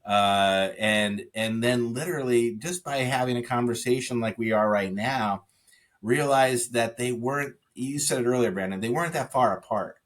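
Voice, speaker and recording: A man speaking as a podcast guest, telling stories in an animated way with frequent restarts and "you know" fillers. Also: medium pitch, normal speed. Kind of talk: conversational interview.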